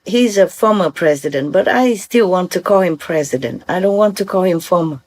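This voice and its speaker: A woman's voice: fairly low pitch, animated, normal speed, a little mellow. An older woman from Vietnam with a Vietnamese accent, giving an earnest, informal talk as a spiritual teacher and often checking that her listeners follow.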